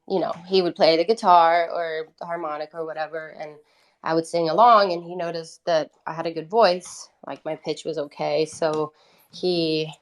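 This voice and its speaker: A woman from Italy with a somewhat low voice speaks as a podcast guest. She is a native Italian speaker and talks informally, in a conversational interview style. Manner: informal, peppers talk with "like". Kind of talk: casual interview chat.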